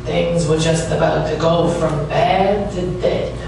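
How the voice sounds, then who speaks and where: A man playing a world-weary, wisecracking private detective in a deadpan 1940s radio noir style. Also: on the high side, slow pace, creaky, background noise. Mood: wry, deadpan. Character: wry, world-weary male private detective narrating his case. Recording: roomy, distant stage recording with music.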